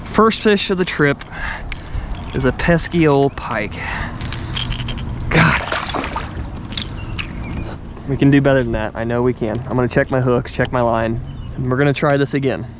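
A chatty, excitable man talks straight to his viewers while he fishes from a kayak on a river. He sounds tired. His action camera picks up clear wind and water noise around him. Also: medium pitch, a little slow, long pauses. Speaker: fishing channel host. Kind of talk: casual on-the-water vlog narration. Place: outdoors on a river, in a kayak and on the bank.

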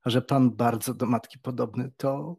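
An actor playing a phlegmatic, blunt old doctor with a deliberate, pedantic way of talking, in a dramatized audiobook. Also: male voice, mid-range voice, steady tone, gravelly, darkish tone, slightly rough. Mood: calm, blunt.

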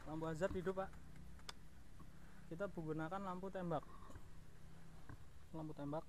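A man's voice: fairly high pitch, fairly even intonation, normal speed, darkish tone. A man talking casually and colloquially to viewers as a vlog host, recorded outdoors on an action camera. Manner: informal, colloquial, addressing the viewer. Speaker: motovlog host. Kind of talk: casual vlog walkaround of his motorbike. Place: outdoors in a house yard. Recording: outdoor action camera, clear background noise.

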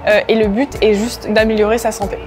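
A woman's voice: medium pitch, flat delivery, a little fast. A young woman who co-owns a gym and coaches there, speaking earnestly in an interview with some hesitations. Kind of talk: interview testimonial. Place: large indoor gym. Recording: music playing behind voice. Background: background music.

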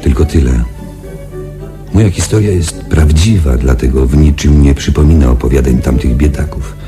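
An actor playing a shaken young space patrol pilot, confiding his story in a halting, dramatic radio-play delivery. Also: a bass voice, music in the background. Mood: subdued, reflective.

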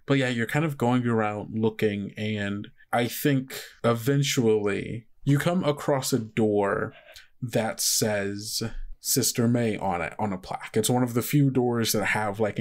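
A man acting as game master, improvising descriptions in a conversational, halting way. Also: lowish voice. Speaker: game master of an actual play podcast. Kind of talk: improvised tabletop game narration.

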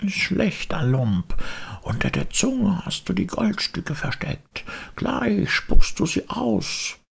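A man voicing a menacing storybook highway robber, hollow and growling, drawing out his threats. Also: very animated, gravelly, breathy edge, full character voice. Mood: cruel threatening. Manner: slow, threatening, drawn-out.

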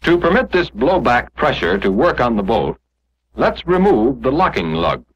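An American man narrating a scripted 1940s instructional film in a measured, explanatory manner, on an old film soundtrack. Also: mid-range voice, sing-song, husky, muffled recording.

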